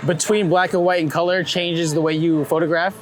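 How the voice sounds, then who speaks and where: A man hosting a walking interview outdoors in a city, asking relaxed, curious questions about photography. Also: fairly high pitch, a little fast, music in the background. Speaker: interviewer and host. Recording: outdoor street noise. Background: traffic and street noise.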